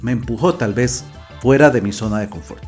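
Guy hosting a book review, friendly, talks right to you, soft music under it. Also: mid-range voice, fairly quick. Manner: friendly, direct address to the listener.

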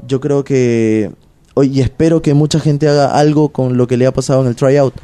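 Peruvian-accented wrestler, mellow-toned, loose slangy chat, filler-heavy. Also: male voice, mid-range voice, moderate pace. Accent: Peruvian Spanish. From Peru. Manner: animated, slangy, many fillers. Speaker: pro wrestler guest. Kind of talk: casual interview chat.

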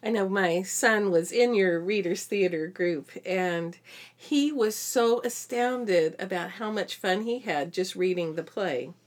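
A woman's voice: mid-range voice, slow pace. A middle-aged woman chatting casually as a podcast co-host, recounting her own experiences. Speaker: podcast co-host, homeschooling mother. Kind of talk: casual conversational chat.

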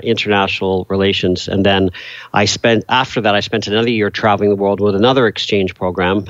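Lower-voiced middle-aged guy rambling through an interview, lots of uhs, dull dry audio. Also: dry recording. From England, raised in Canada. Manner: rambling, many fillers and restarts. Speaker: guest, business coach. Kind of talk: casual interview conversation.

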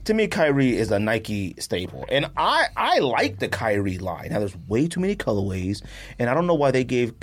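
Animated male podcast host, slangy delivery. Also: medium pitch, moderate pace. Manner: animated, slangy, repeats himself. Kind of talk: casual sports and sneaker chat.

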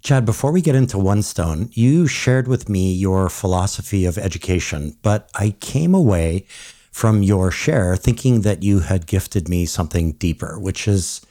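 Male podcast host from Hawaii, deep mellow voice, easygoing reflective questions. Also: lively intonation, normal speed. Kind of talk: podcast interview.

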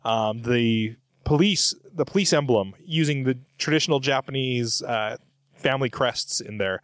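A man's voice: medium pitch, moderate pace, no room sound, studio quality. A middle-aged man hosting a podcast, chatting casually and reading out listener comments in a rambling, self-correcting way. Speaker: podcast host.

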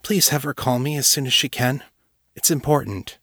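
A man's voice: mid-range voice, flat delivery, moderate pace, pushed and bright, slightly rough, no echo, clean studio sound, voice pushed up. A man voicing a tiny, timid rat morph in an audiobook, polite and anxious, with a small, uncertain voice. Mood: worried, meek. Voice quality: small, thin voice. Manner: uncertain, meek. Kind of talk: audiobook character acting.

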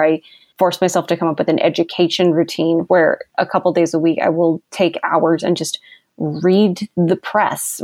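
A young woman speaks as a podcast guest, bubbly and quick to laugh. Her voice sits a little lower than most women's. The sound is dry and close, with no room around it. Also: darkish tone, no echo. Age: young adult. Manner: bubbly and enthusiastic, laughs often. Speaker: guest copywriter. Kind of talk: conversational podcast interview.

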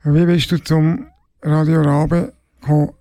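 A man's voice: fairly high pitch, level tone, measured pace, dark tone, no room sound. A man asking short, simple prepared questions as a radio interviewer.

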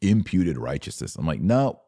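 A man with a low-pitched voice speaks as a podcast host and commentator. He is didactic and emphatic, talking conversationally to his audience and pausing deliberately to land his points. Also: big pitch swings, warm tone.

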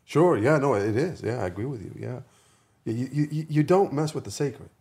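A man's voice: mid-range voice, animated, normal speed, a little mellow. A man in a casual religious discussion, agreeable and somewhat hesitant, often repeating words as he forms his thoughts. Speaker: podcast co-host or discussion partner.

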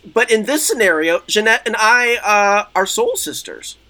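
A woman's voice: mid-range voice, expressive, unhurried, bright, forceful tone. An actress as a swooping, theatrical, effusive woman. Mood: self-justifying. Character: eccentric, self-dramatizing woman role-play therapy enthusiast. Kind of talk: improvised role-play comedy.

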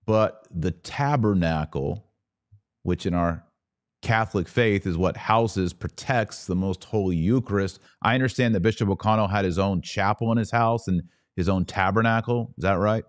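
A man's voice: on the low side, normal speed, no echo, studio quality. A man hosting a podcast, asking inquisitive questions and reacting briefly in casual talk. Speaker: podcast host.

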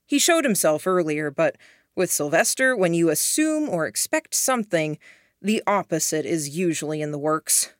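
A woman voicing a wary, commanding grown man who speaks in a steady, matter-of-fact way. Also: on the low side, normal speed, dry recording, clean studio sound, close to an everyday voice. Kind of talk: audiobook character voice.

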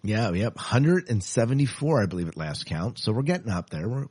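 A man's voice: lowish voice, sing-song, a little fast, darkish tone, breathy edge. A man hosting a podcast in a casual, chatty and polite way, addressing guests and listeners. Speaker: podcast host.

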